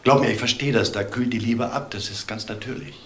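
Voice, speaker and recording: An actor playing an apologetic, well-meaning brother-in-law, tactful and hesitant, in a crime radio drama. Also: male voice, medium pitch, a little fast, a bit bright, slight background noise, close to an everyday voice. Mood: earnest, reproachful.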